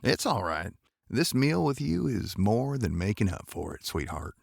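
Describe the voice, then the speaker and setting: Gravelly, folksy cowboy gentleman. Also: lowish voice, very animated, normal speed, no room sound, studio quality, heavily acted. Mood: flirtatious. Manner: drawling folksy phrasing, polite, hesitant. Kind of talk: audio drama acting.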